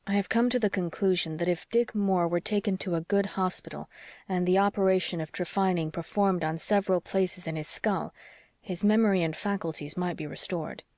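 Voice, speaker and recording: A woman voicing an earnest, stubborn young male doctor who reasons in a measured, formal way, as in an audiobook. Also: on the low side, fairly even intonation, a little mellow, dry recording, studio quality, natural voice. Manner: measured, formal, slightly stiff. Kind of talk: audiobook character dialogue.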